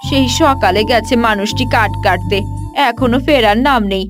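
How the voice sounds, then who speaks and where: A woman's voice: fairly high pitch, moderate pace, lightly acted. A woman voicing a poor, worried village wife and mother in a cartoon moral story. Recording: music clearly behind the voice.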